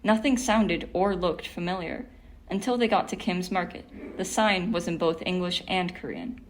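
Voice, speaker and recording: A woman reads a children's picture book aloud, calm and measured. Her voice sits on the low side for a woman. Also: a little hiss or hum. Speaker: volunteer reader.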